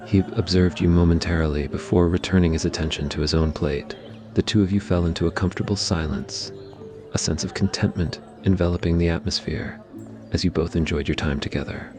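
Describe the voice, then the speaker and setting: A man narrating a scripted romantic ASMR story. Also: low voice.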